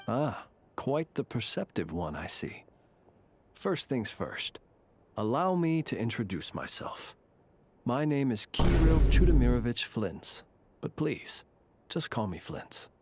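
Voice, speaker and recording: A man voicing a courteous, eccentric graveyard warden in a video game, speaking in a formal, measured, genteel way. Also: mid-range voice, very animated, noisy background. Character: courteous, eccentric gentleman graveyard warden, calm and faintly eerie. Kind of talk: video game character dialogue.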